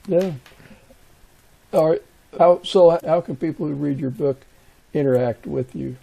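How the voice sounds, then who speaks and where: Husky, mellow man hosting a podcast. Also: a little high, unhurried. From United States. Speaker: podcast host and interviewer. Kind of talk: conversational interview and podcast hosting.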